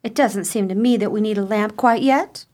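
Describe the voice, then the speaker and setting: A woman acting in a radio play as a fretful, soft-hearted grieving sister with a plaintive manner. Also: medium pitch, normal speed, lightly acted. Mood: reluctant.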